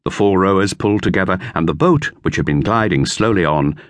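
A man reading a children's novel as an expressive audiobook narrator. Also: low voice, a little fast, no room sound, clean studio sound.

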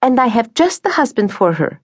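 A woman's voice: fairly high pitch, big pitch swings, normal speed, dry recording, studio quality, voice pushed up. A woman voicing a cold, scheming stepmother. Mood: falsely sweet.

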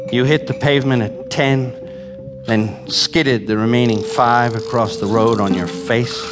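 An actor playing a grief-maddened scientist father in an audio drama, intense and theatrical, with halting repetitions. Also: mid-range voice. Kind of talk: live audio drama acting with monologue narration. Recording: live stage recording with music underneath.

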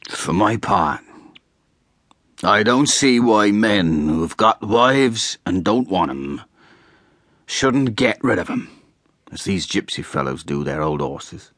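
A man's voice: lowish voice, lively intonation, takes it slowly, no room sound, clean studio sound. A man voicing a drunken, brash rustic hay trusser who blusters and boasts. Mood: blustering. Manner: blustering and boastful, shouting at times.

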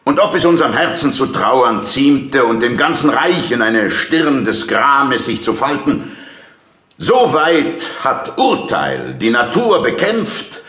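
Casting: a pompous, controlling middle-aged king, very slow delivery with wide melodic swings, stage classic. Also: medium pitch, very animated, a bit bright, reverberant. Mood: solemn, self-satisfied. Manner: stately, declamatory, deliberate. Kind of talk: classical audio drama acting.

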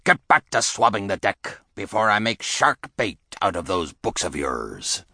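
A man voicing a gruff, bullying pirate rabbit captain in an audiobook story, barking orders in pirate slang. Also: lowish voice, big pitch swings, a little slow, bright, forceful tone, exaggerated voice. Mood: angry, scornful.

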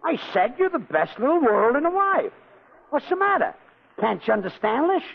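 An actor playing a grumpy husband getting tipsy on medicine in a 1940s radio play. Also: male voice, very high-pitched, sing-song, normal speed, full character voice. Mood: tipsy, merry. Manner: gruff then slurring and merry. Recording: tinny 1940s radio broadcast, faint hiss.

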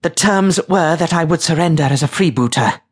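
A man's voice: on the high side, moderate pace, breathy edge, voice pushed up. A man voicing a proud, sardonic woman freebooter captain in an audiobook, with a lofty, clipped delivery. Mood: indignant, lofty. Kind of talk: audiobook character voice.

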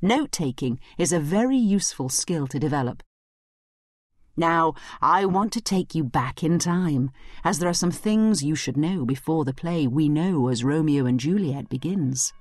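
Expressive woman narrator, lively and low-pitched. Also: moderate pace, no room sound, studio quality. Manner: instructive, expressive, addressing the listener directly. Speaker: narrator and study guide. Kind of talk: scripted educational narration.

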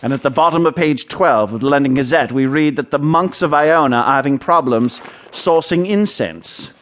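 An actor playing a haughty, guarded Edwardian gentleman investigator of the occult, dry and sardonic, in a radio drama. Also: on the high side, normal speed, natural voice. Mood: grave, foreboding.

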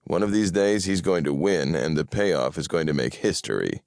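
Narrator as a grumpy gumshoe, man, deep voice, sardonic, irritable, dry close recording. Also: animated, normal speed, creaky, a little mellow, no room sound. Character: sardonic, grumpy male detective who bets on horses.

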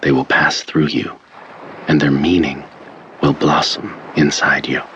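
A man playing a calm, controlling hypnotist who narrates a guided treatment tape in a deliberate, soothing manner. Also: rumbling low, background noise. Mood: soothing, hypnotic. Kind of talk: guided hypnosis instructional tape in an audio drama. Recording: dull lo-fi cassette-style sound. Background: faint music.

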